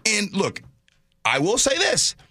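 A man hosting talk radio, bantering in an animated, colloquial way and putting his opinions straight to his co-hosts. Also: high-pitched, slow pace, no room sound, studio quality. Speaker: radio talk show host.

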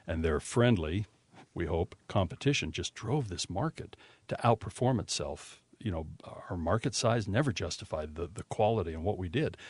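A man's voice: deep voice, dry recording. An older man, a veteran radio host and programmer, reminiscing conversationally in an interview.

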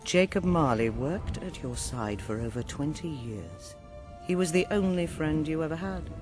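A stern spirit in a radio play, very low and sweeping widely in pitch. It accuses with theatrical, deliberate reproach over music. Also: female voice, lively intonation. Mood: grave, reproachful. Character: stern accusing spirit confronting an old sinner. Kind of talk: radio drama acting. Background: dramatic music.

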